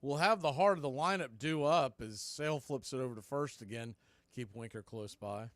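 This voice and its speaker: A man with a slightly high-pitched voice gives live baseball play-by-play as a radio announcer. He moves between clipped, punchy calls and conversational asides. The sound is very dry, with no room around the voice. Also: a bit bright, no room sound.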